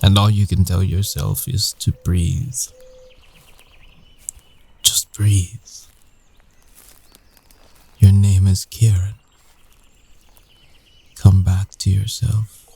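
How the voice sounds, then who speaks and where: A man playing a tender, weary werewolf ex-boyfriend in an intimate ASMR roleplay, with hushed, halting delivery. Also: low voice, creaky, slightly rough, heavily acted. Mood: hushed, shaken.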